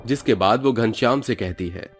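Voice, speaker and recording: A man's voice: medium pitch, moderate pace, soft background music. A man narrating a scripted cartoon story as the storyteller.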